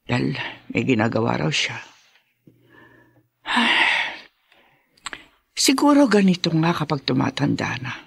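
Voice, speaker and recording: A woman's voice: rumbling low, big pitch swings, slow pace, creaky, long pauses, breathy edge, no room sound, exaggerated voice. A woman playing a proud, protective elderly grandmother in a Filipino radio drama, speaking with a Filipino accent. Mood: wistful, stubborn. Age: older.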